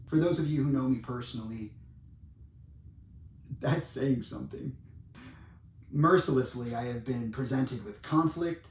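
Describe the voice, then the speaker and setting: A man in his twenties giving a monologue to viewers in a dramatic, deliberate way, with pauses and blunt asides. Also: medium pitch. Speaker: speaker making a personal public statement. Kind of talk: semi-prepared monologue addressed to viewers. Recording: echoey room, distant microphone.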